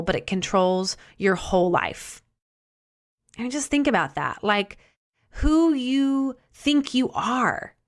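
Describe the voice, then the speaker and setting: Lady podcast host, middle-aged, mid pitch, earnest chatty coaching talk, slows down to stress stuff. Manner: earnest, conversational, with many restarts and some slow, emphatic phrasing.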